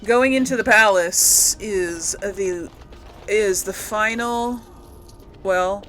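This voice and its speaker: Female gaming host: unhurried, casual, musing commentary, medium pitch, clear background noise. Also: fairly bright tone. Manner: musing, thinking aloud.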